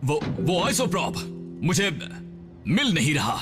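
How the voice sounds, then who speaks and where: A man playing a steady, scholarly robot scientist in a cartoon dub, with a thoughtful, measured way of talking. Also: on the high side, music in the background. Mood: cautious. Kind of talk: dramatic Hindi cartoon dub.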